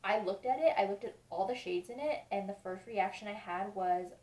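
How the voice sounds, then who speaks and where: A woman's voice: medium pitch, moderate pace, a little mellow. Stuffy-nosed woman chatting in a roomy space. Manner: chatty, rambling, repeats reassurances. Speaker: beauty vlogger. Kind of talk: casual unboxing review. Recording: roomy sound, mic at a distance.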